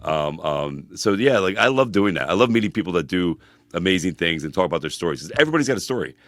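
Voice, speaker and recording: A man's voice: deep voice, very animated, a bit bright, no echo. A man co-hosting a podcast in casual chat, rambling with lots of "freaking" and "bro". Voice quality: fast, rambling, uses "freaking" and "bro" a lot. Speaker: podcast co-host, personal trainer.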